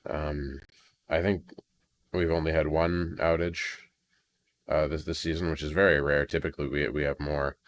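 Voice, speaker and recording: A man's voice: very deep voice, level tone, normal speed. An American man recording a casual, unscripted audio diary at home, rambling hesitantly in a resigned way, with crackling in the recording. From Maine, United States. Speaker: video creator recording an audio log. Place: at home at a computer.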